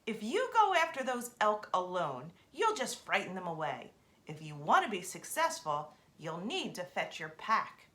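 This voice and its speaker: A woman voicing a cunning, bossy female raven with high squawking cries. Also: big pitch swings, unhurried, fairly bright tone.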